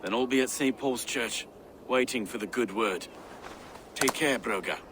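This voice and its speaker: Actor as solemn, reflective noble, mid-pitch, measured. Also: male voice, noisy background, natural voice. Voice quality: reflective, grave.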